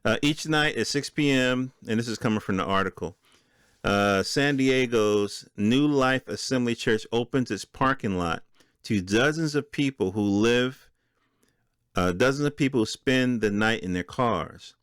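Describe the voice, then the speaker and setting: A man's voice: medium pitch, measured pace, a bit bright, clean tone, no room sound. An American man with a mid-range voice talks in a casual, opinionated style, as a solo podcast host does. He addresses listeners directly and conversationally. The sound is dry, with very little room in it. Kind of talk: casual solo commentary, reading and reacting to news articles.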